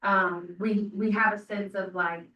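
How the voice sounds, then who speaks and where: A woman's voice: medium pitch, steady tone, unhurried. A woman in a conversational panel discussion, thinking aloud as she poses questions and checks for agreement. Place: indoor event room. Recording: muffled, echoey room, distant microphone.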